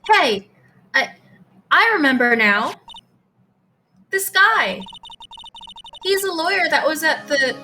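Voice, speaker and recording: A woman's voice: high-pitched, very animated, takes it slowly, pauses often, pushed and bright, slight background noise, heavily acted. A woman voicing a naive, earnest teenage girl assistant who is easily amazed, in a live game fan dub. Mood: thoughtful. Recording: music behind the voice.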